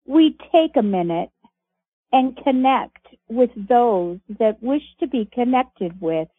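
Woman reader, deliberate and unhurried, slightly husky, muffled telephone sound. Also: a little high, no room sound. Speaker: reader of archived messages on a conference call. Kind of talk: reading a transcript aloud. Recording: muffled phone-line audio.

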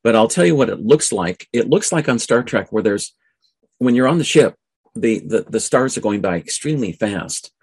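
Husky-voiced man hosting a talk show, halting. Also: mid-range voice, animated, no echo. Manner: halting, repetitive, stumbling over phrases. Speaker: radio show host. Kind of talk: informal monologue on a talk show.

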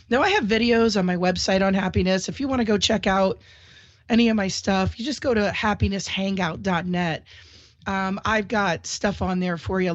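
A woman's voice: mid-range voice, dry recording. Cheery lady host talking solo with a bit of a cold, muffled dry audio. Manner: upbeat, chatty, encouraging. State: has a cold, clears throat often. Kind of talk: solo talk show monologue.

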